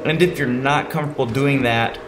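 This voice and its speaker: A man's voice: medium pitch, brisk, background noise. An American man giving a casual, explanatory voice-over for a kayaking vlog, informal and instructive.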